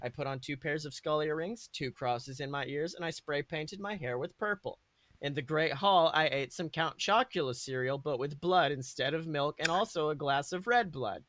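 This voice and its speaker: A man's voice: fairly high pitch, normal speed, fairly bright tone, no echo. A man hosting a podcast, reading fan fiction aloud in an amused, theatrical, mocking way. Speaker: podcast host.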